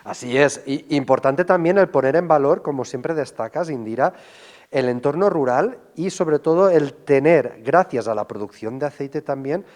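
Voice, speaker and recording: A man's voice: fairly high pitch, moderate pace, darkish tone. A man conducting a conversational on-location television interview, speaking in an effusive, complimentary way.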